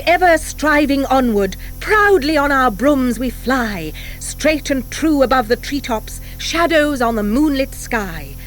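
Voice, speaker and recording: Woman as high, droning witch schoolgirls' anthem. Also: rough recording. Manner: droning, declaimed. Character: schoolgirls chanting their proud witch school song.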